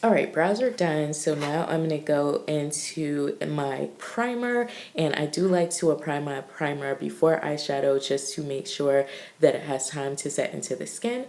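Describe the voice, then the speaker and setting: A young woman vlogger who is congested with a cold, sounding raspy and nasal as she chats through a makeup tutorial. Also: low-pitched, moderate pace, a little mellow, slight background noise. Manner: chatty and upbeat, frequent "um" and "super". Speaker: beauty vlogger. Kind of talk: casual makeup tutorial chat. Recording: echoey room.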